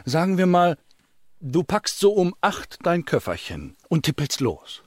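An impatient schemer in a radio drama. His pitch swings widely as he cajoles and commands insistently. The sound is close and dry. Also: big pitch swings, a little slow, no room sound. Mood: scheming, insistent. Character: scheming, impatient man pushing an accomplice into a false confession. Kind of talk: German radio drama acting.

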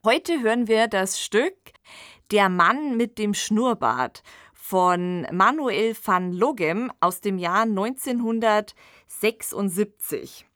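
A woman presents a podcast in a conversational way. She takes her time, in a mid-pitched voice recorded very dry in a studio. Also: lively intonation, takes it slowly, fairly bright tone, no echo. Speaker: podcast host. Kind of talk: conversational radio drama introduction.

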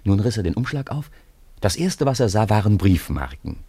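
Low, husky male storyteller. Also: very animated, moderate pace, dark tone. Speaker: narrator. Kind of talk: scripted storytelling narration for a radio play.

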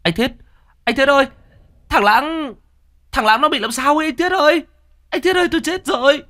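A man voicing a frantic young man who shrieks for help in a very high voice. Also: normal speed, pushed and bright. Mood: panicked.

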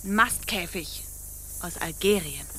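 An actress playing an imperious, mocking pirate captain in a theatrical radio play, brooding and scornful. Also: female voice, fairly low pitch, unhurried, a bit bright, slight background noise. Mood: brooding, dreamy. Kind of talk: stage-like radio play acting.

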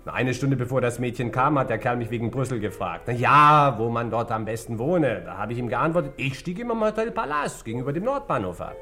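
An actor playing a cagey, sardonic bar owner with a shady past in a German radio crime drama, answering offhandedly. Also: male voice, mid-range voice, lively intonation, a little fast, few pauses, pushed and bright, soft background music. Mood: evasive.

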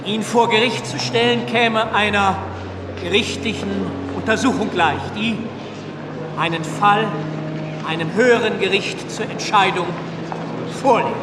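An actor playing a solemn, unyielding revolutionary leader in a radio drama, with measured, halting, emphatic oratory. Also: male voice, high voice. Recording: echoing distant hall, loud crowd noise.

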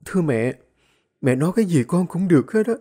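A woman's voice: lowish voice, animated, a little fast, husky. A woman doing a meek, pleading young wife. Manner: soft, humble, pleading.

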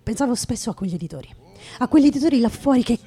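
A woman acting a bitter, hard-drinking, starving writer in a theatrical radio monologue, brooding and halting. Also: fairly high pitch, animated, dark tone, breathy edge. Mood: indignant, self-doubting.

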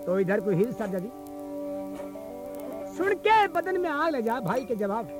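A man. He performs as a traditional bard, alternating sung verse with narration, while an instrument plays clearly along on a field cassette recording. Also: extremely high pitch, big pitch swings, measured pace, over music. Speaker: traditional folk storyteller and singer. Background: musical instrument accompaniment.

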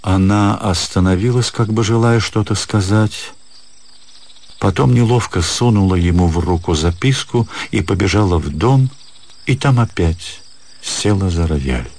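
Very deep male literary narrator. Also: a little slow, a little mellow. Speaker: narrator, actor reading a story. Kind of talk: scripted literary narration for radio drama. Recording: faint music behind the narration.

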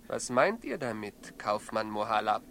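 An actor playing a cautious, philosophical travelling companion with measured delivery in a classic German radio play, on a slightly noisy recording. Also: male voice, lowish voice, sing-song, creaky, a bit bright, heavily acted. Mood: wary.

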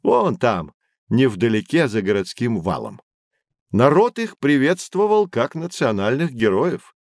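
An actor playing a knowledgeable, patient guide who teaches children in an educational audio drama, with a didactic, emphatic delivery. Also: male voice, medium pitch, big pitch swings, measured pace, a little mellow, no room sound, clean studio sound. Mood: storytelling.

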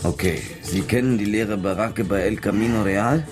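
An actor playing a slick, businesslike informant in a radio drama, brisk and familiar. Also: male voice, lowish voice, natural voice. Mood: brisk, sly. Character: slick, businesslike male informant tipping off a drug deal by phone. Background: loud music.

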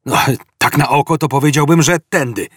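A man playing a brash, flippant space adventurer in a comic audiobook, often shouting back cockily. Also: on the high side, brisk, husky, no room sound, studio quality, voice pushed up. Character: brash two-headed male space adventurer, cocky, flippant.

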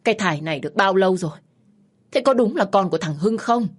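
A grieving mother-in-law in an audio drama , acted by a woman narrator. She is overwrought and highly emotional, with a husky edge to her voice. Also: animated, fast. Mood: shocked, urgent. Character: grieving, emotional mother-in-law, bitter then tearfully grateful.

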